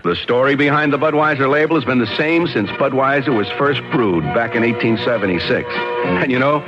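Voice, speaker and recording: A man's voice: mid-range voice, a little fast. A man reading a conversational scripted radio commercial as an announcer. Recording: dull vintage radio, music behind.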